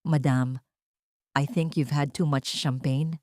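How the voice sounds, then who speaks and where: A woman's voice: sits low, fairly even intonation, slow, darkish tone, no room sound, clean studio sound. A woman voicing a poised, mocking female executive with a cold, cutting manner in an audio drama. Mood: cool disdain.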